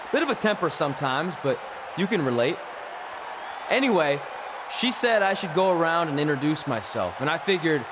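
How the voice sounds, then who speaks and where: An actor playing an easygoing, cheeky rookie wrestler, talking casually and affably in a video game scene. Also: male voice, fairly high pitch, lightly acted. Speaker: young challenger wrestler. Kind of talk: conversational in-ring dialogue in a video game. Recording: noisy arena with crowd behind.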